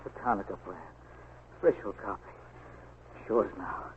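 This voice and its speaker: A man acts a shot, dying newspaperman, low, weak and halting, full of regret. The 1940s radio drama recording is muffled and noisy. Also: natural voice. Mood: weak, pained. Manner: faint, halting, low delivery.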